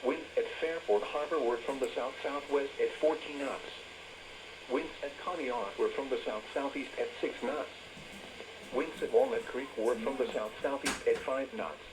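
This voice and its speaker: An automated male voice with an American accent reads a scripted weather bulletin over the radio in a flat, measured, synthetic way. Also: medium pitch. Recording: noisy weather radio broadcast, strong static.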